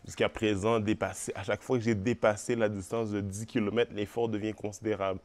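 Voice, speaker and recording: A man's voice: fairly low pitch, level tone, brisk, darkish tone. A man with a Quebec French accent narrating a vlog, stuffy with a cold. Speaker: vlogger.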